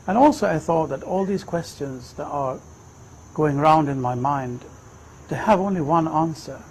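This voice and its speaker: A man in his fifties from Sweden, telling his personal story in a reflective, measured way. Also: on the high side, lively intonation, darkish tone, a little hiss or hum. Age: 50s. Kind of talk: personal testimony, unhurried storytelling.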